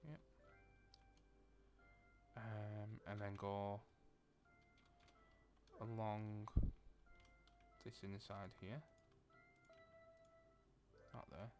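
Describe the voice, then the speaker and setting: A man's voice: fairly low pitch, level tone, extremely slow, long pauses, darkish tone, soft background music. A man with a Lancashire accent giving casual commentary as he plays a building game, thinking aloud and muttering to himself. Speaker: gaming video creator.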